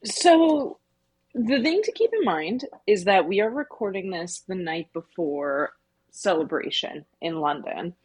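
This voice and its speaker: A woman's voice: fairly low pitch, expressive, unhurried, a little mellow, breathy edge, no room sound, low-quality recording. A woman co-hosting a podcast, explaining things casually in long winding sentences. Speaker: podcast co-host.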